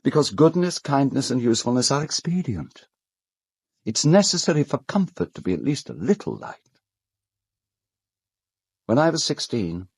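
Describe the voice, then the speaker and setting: An actor with a British accent playing an urbane, cold, self-justifying gentleman in an audio drama, speaking in a measured, articulate, dryly ironic way. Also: medium pitch, slightly rough, no echo, studio quality, full character voice.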